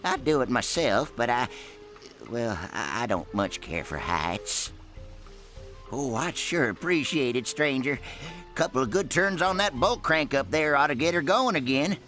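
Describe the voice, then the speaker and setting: An actor playing a timid, folksy rustic islander in a video game, hesitant and rambling. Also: male voice, mid-range voice, animated, unhurried, sharp tone, faint music behind. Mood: sheepish, friendly. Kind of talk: animated video game cutscene acting.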